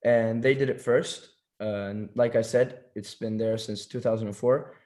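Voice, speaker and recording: A man's voice: lowish voice, steady tone, moderate pace, a little mellow. Hesitant teenage boy presenting a workshop. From Turkey. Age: teens. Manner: hesitant, many fillers and restarts.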